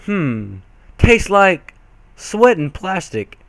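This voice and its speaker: A man's voice: high-pitched, sing-song, drawn-out words, vocal fry, fairly bright tone, dry recording, pitched above the performer's own voice, exaggerated voice. A man voicing an oblivious old granny farm mare in folksy country talk from a pony cartoon story. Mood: puzzled.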